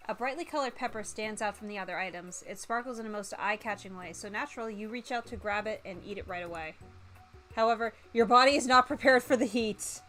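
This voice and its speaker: A woman streamer chatting casually and reading game text aloud, hesitant and thinking out loud. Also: mid-range voice, fast talker, soft background music. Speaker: streamer playing a visual novel for viewers.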